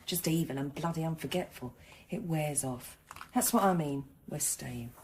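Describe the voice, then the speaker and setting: A woman's voice: low-pitched, normal speed, a little mellow, a little hiss or hum, natural voice. A woman playing a weary, anxious and sceptical young mother-to-be in a naturalistic radio drama. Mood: sceptical, resigned.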